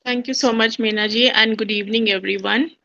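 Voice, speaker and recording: Bright-voiced woman caller. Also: on the high side, steady tone, a little fast, gravelly. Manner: earnest, reads out her written note. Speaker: caller on live show. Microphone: video call. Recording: dull video call audio.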